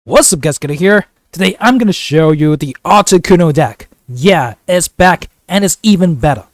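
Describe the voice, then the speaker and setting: A man's voice: a little high, animated, normal speed, no room sound, studio quality. A man with a flu, casually commentating a card game video in an upbeat, chatty, joking way. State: congested from a flu. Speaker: gaming YouTuber.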